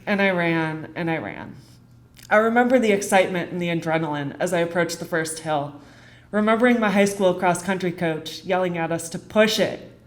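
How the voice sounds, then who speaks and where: A young American woman reading a rehearsed personal essay on stage, in an earnest, deliberate delivery with short phrases and dramatic pauses. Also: lowish voice. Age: young adult. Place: theater stage.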